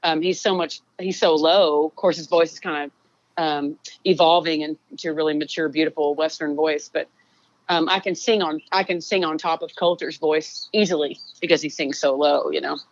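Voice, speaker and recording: A Texan songwriter, a woman, chatting in an interview, relaxed and rambling with lots of fillers. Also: normal speed, highs cut off. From Texas. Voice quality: low singing voice. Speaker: songwriter guest. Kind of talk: casual interview chat.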